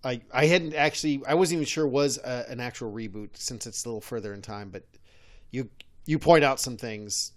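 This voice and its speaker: Male podcast co-host, average pitch, loose conversational delivery with stumbles and self-corrections. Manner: halting, self-correcting, repeats words. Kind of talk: casual unscripted chat.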